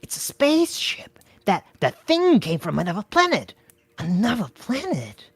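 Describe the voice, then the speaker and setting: A woman's voice: medium pitch, big pitch swings, normal speed, a bit bright, no echo, voice pushed up. Woman doing a brash, broke male hustler. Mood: stunned. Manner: pushy, wheedling, slangy.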